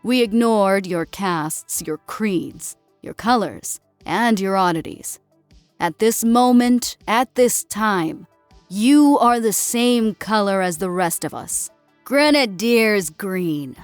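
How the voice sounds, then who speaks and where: A woman's voice: mid-range voice, expressive, slow pace, no room sound, faint music behind. A woman voicing a proud, assuring female senior drill sergeant in an audiobook, addressing recruits in a formal military way. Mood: proud, earnest. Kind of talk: dramatic audiobook character acting.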